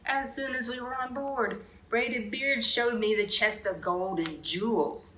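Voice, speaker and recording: A woman's voice: fairly high pitch, unhurried, sharp tone, a little hiss or hum, rough recording. A woman storyteller reading a picture book aloud expressively, slipping in brief asides to young listeners. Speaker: children's storyteller.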